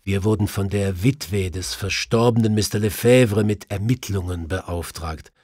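A man's voice: on the low side, unhurried, darkish tone, husky, no room sound, clean studio sound. A man voicing a detective's earnest doctor companion in an audiobook, speaking politely.

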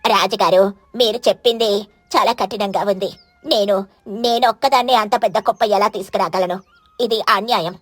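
A woman's voice: medium pitch, steady tone, moderate pace, dry recording, natural voice. A woman voicing a sly, selfish mother crow in a bird cartoon, with a wheedling, falsely innocent and pleading manner. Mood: shaky, pleading.

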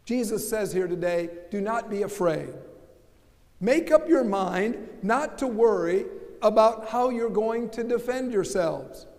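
A man's voice: sits high, animated, a little slow, a little mellow. An older American man preaching a sermon from the pulpit, emphatic and asking rhetorical questions. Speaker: pastor. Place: large church sanctuary. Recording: long church reverb.

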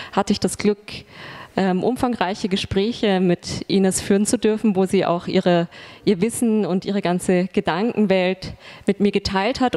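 A woman in her forties, an artist and publisher speaking in a measured, articulate way about her work into a handheld mic in a gallery. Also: mid-range voice, darkish tone. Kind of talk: talking about her artwork. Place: gallery room.

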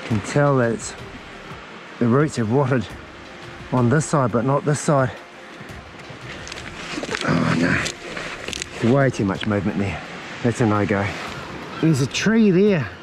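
A man's voice: mid-range voice, sing-song, slow, long pauses. A man, filming himself as he scrambles along sea cliffs and talks through each move, a little short of breath. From New Zealand. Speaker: outdoor adventure vlogger. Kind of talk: self-filmed commentary while climbing. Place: outdoors on a windy coastal cliff. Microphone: head-mounted action camera. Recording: wind noise on an action camera.

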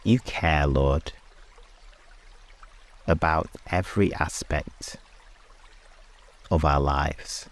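A man hosting a sleep meditation, speaking in short, hushed phrases with long pauses between them, as in guided prayer. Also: extremely low pitch.

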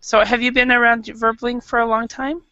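A woman's voice: a little high, little pitch movement, moderate pace, pushed and bright, no echo, lo-fi sound. A young American woman from Idaho teaching an online English class, chatty and friendly, with lots of ums and uhs. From Idaho, USA. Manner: chatty, friendly, with many um and uh fillers. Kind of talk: casual online language lesson. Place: small room at home. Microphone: headset mic.

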